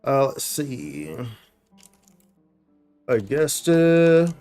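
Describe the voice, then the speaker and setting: A man's voice: medium pitch, big pitch swings, drawn-out words, a little mellow. A man doing casual live gameplay commentary, thinking aloud in slangy, repetitive phrases. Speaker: game livestreamer. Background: faint game music.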